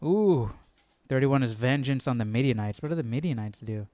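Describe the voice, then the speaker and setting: A man's voice: mid-range voice, expressive, normal speed, warm tone, no room sound. A man hosting a Bible study livestream, thinking aloud in an informal, musing way and often repeating himself. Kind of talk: casual chat, thinking aloud.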